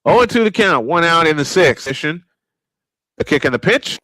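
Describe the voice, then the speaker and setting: A man's voice: a little high, very animated, normal speed, pushed and bright, dry recording, rough recording. Old-school baseball play-by-play man. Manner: old-school broadcast calling with wordplay. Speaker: play-by-play announcer. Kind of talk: live baseball commentary.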